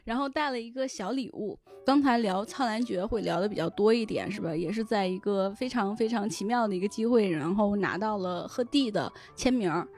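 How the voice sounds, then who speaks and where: A woman's voice: mid-range voice, brisk, hardly any pauses, darkish tone. A woman guest explaining things in a chatty way during a casual podcast conversation. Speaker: guest, web-fiction editor. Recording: music behind the voice. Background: music.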